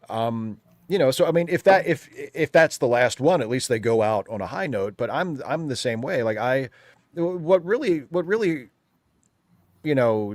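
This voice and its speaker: A man's voice: medium pitch, no echo. A man giving his opinions in casual panel chat as a guest, building his argument emphatically. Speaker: returning guest commentator on a live show. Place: remote video call.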